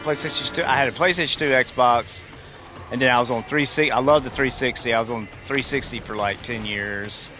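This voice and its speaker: A man's voice: medium pitch, brisk, over music. A man in his forties chatting casually with his viewers while gaming, rambling and restarting his sentences. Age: 40s. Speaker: livestreamer talking to chat. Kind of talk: casual chat while playing.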